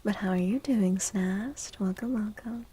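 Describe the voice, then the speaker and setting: A woman speaking softly and gently in a breathy, soothing ASMR style, often slipping into a whisper as she chats with stream viewers. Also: medium pitch, a little slow. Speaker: livestreamer hosting an ASMR stream. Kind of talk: soft-spoken ASMR, chatting with viewers. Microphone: close microphone.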